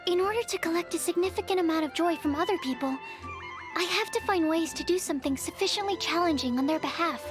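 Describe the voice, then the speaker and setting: A woman's voice: very high voice, fast. A woman playing a shy, earnest young alchemist in a video game, with polite, precise, scholarly phrasing. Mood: explaining patiently. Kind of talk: video game character voice acting. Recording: background music under the voice.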